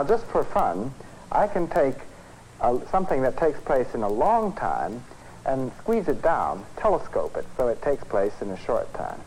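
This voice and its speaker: A man's voice: mid-range voice, a little mellow. Man lecturing on a noisy 1950s film soundtrack. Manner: explanatory, conversational, measured. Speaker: physics professor, presenter. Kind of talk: educational film lecture with demonstrations. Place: film studio.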